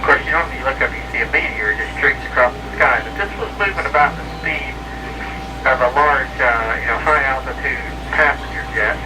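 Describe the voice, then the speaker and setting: A man's voice: medium pitch, fairly quick. A man chatting casually and telling stories over CB radio in a rambling way, repeating and correcting himself. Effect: CB radio transmission. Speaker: hobby CB radio operator. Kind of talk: casual CB radio chat and storytelling. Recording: distant, noisy CB radio transmission.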